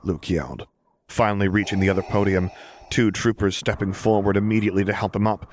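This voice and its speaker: A man reads fiction aloud as an audiobook narrator. His voice sits on the low side and stays very even in pitch. There is clear noise and some clicking in the recording. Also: a little fast.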